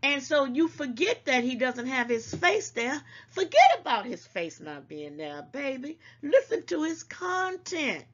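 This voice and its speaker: A woman's voice: high voice, big pitch swings, measured pace, hardly any pauses. Scolding older woman, lo-fi sound. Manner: scolding, preachy, calls listeners baby. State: congested at times. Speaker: online talk show host. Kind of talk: casual commentary to viewers.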